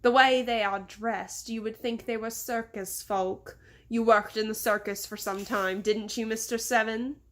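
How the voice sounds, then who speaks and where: A woman's voice: fairly high pitch, steady tone, measured pace, a bit bright. A woman voicing a pompous, insulting rich man with an English accent in a storybook, imperious and scolding. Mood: haughty, indignant.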